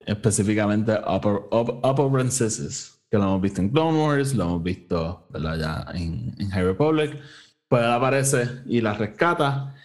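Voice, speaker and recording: A man's voice: on the low side, expressive, moderate pace. A man with a Puerto Rican accent hosting a casual podcast review, recounting a story conversationally and dropping English phrases into his Spanish. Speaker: podcast host reviewing an audio drama.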